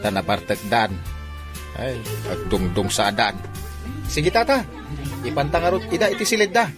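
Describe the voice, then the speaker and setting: An actor playing a lively adult man in an Ilocano comedy radio drama, with music behind. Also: a little high, close to an everyday voice.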